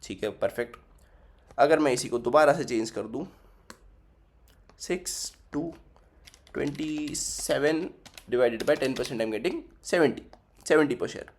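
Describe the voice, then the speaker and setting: A man's voice: mid-range voice, takes it slowly, long pauses. A young man teaching a finance class, working through the numbers aloud and repeating figures as he goes, switching between Hindi and English. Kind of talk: recorded finance lesson.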